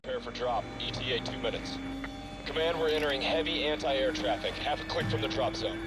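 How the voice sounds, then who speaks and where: A male actor playing a steady military pilot, giving clipped radio call-outs in a war game. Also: mid-range voice, a little fast, close to an everyday voice. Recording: aircraft sound under loud music.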